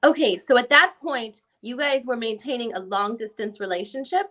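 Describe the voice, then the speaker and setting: A female lawyer read by a woman questions a witness in a fairly high voice. She is formal and methodical, working through a deposition one question at a time. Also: moderate pace, fairly bright tone. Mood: matter-of-fact. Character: probing female defense attorney questioning a witness. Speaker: reader in an online dramatic reading. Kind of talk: reading a deposition transcript aloud.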